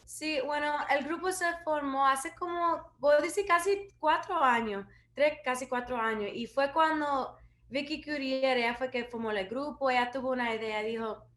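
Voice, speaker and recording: A woman's voice: high-pitched, fairly quick, fairly bright tone. Woman guest in an echoey room. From USA, New Jersey and Florida. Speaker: singer, interview guest. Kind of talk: casual interview.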